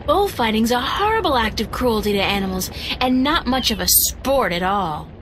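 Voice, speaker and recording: A woman's voice: a little high, lively intonation, moderate pace, a bit bright, slight background noise, poor audio. An actress playing a polite, curious young woman adventurer asking questions.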